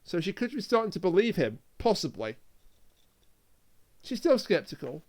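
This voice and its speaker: A man's voice: high voice, very animated, normal speed, darkish tone. A man co-hosting a podcast, recapping a story in casual review talk and acting out quoted lines as he goes. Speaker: podcast co-host.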